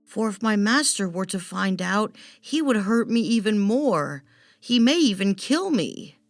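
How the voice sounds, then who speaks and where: A woman's voice: mid-range voice, sing-song, measured pace, no echo, voice pushed up. A woman voicing a timid female bat in a storybook tale, a lonely and cautious servant who speaks hesitantly. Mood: fearful, resigned. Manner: hesitant, anxious. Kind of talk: storybook character voice.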